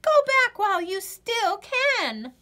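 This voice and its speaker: Woman as a shrill, urgent little forest animal. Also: sing-song, takes it slowly, pushed and bright, heavily acted. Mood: alarmed. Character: alarmed, urgent small forest animals warning a friend. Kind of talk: storybook read-aloud.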